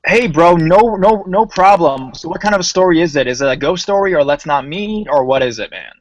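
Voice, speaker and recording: A man's voice: a little high, a little fast, no room sound, low-quality recording. Slangy casual male host, dry sound. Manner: relaxed and slangy, calls people bro. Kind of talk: casual voice-chat hosting.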